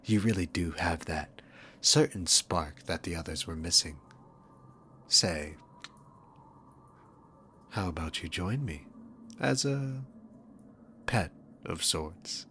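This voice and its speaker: A man's voice: deep voice, expressive, takes it slowly, pauses often, sharp tone, slight background noise, heavily acted. A man playing a dominant, sarcastic demon king villain in a scripted ASMR roleplay, with smug, teasing, theatrical phrasing. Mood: amused, coaxing.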